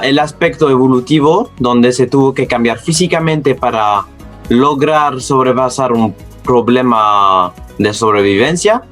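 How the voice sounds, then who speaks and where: A man with a non-native accent, speaking a second language as a student presenting an educational narration in deliberate, slightly halting phrases. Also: on the high side. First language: not Spanish, speaks it as a second language.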